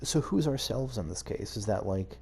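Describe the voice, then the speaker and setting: A man's voice: on the low side, animated, moderate pace, creaky, a little mellow, breathy edge. A man giving casual video game playthrough commentary, hesitantly thinking aloud as he goes. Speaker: gaming video host.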